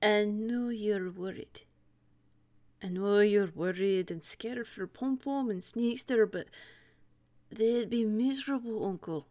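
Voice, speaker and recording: A woman voicing a small male winged cartoon nephew, gentle and loyal, offering firm, folksy reassurance. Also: medium pitch, slow, natural voice. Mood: gentle, reassuring.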